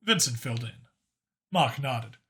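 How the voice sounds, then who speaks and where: A man's voice: mid-range voice, lively intonation, unhurried, fairly bright tone. A man reading scripted fiction aloud as an audiobook narrator.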